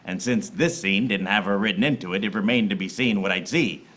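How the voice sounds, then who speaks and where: A man's voice: on the low side, a little fast, fairly bright tone. A man playing a hapless, wisecracking private eye who narrates his case in a film noir radio parody, recorded live in a theater. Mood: wry, tense. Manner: wry, self-aware wisecracking. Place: theater stage before a live audience.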